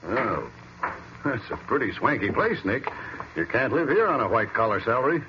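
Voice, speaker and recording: An actor playing a plain-spoken, dry American medical examiner in an old-time radio drama. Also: male voice, mid-range voice, expressive, normal speed, close to an everyday voice. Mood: dry, matter-of-fact. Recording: muffled, hissy vintage radio recording.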